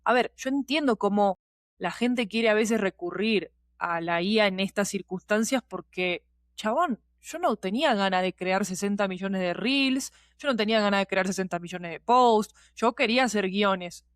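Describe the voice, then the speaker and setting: A woman's voice: mid-range voice, normal speed, no room sound, studio quality. A woman with a Rioplatense Spanish accent giving her opinions as a podcast guest in casual, slangy conversation. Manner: informal, slangy, with frequent conversational tags. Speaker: podcast guest, audio fiction producer.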